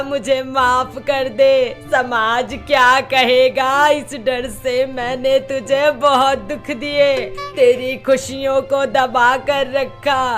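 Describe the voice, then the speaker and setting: A woman voicing an older mother-in-law, stern and dramatic, in a melodramatic story. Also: high voice. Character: stern scolding older mother-in-law, shaming then remorseful. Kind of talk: melodramatic Hindi story dialogue.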